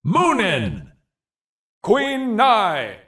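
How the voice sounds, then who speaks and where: A man playing a bombastic, hyped fighting-game announcer, delivering short, dramatic calls with theatrical, drawn-out emphasis. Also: high voice, wildly swooping pitch, a bit bright, roomy sound, recorded from a distance, full character voice.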